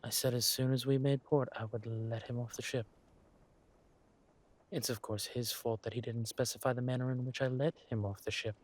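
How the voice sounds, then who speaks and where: A man playing a suave, ruthless villain lord in an audio drama, mock-polite and menacing, with a husky voice and drawn-out delivery. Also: medium pitch, fairly even intonation, close to an everyday voice. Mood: coolly ruthless.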